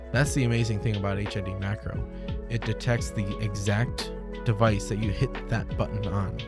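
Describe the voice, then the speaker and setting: A young man hosting a casual software tutorial, speaking informally into a close desk microphone. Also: medium pitch. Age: young adult. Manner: informal, conversational. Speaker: tutorial host. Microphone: close desk microphone on boom arm. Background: music.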